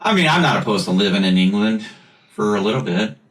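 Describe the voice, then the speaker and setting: Man, Chicago accent, laughing, rambling, bright voice, distant mic, roomy echo. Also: on the low side, lively intonation, fast. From United States. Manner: laughs often, rambling and self-mocking. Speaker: podcast host. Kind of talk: casual chat.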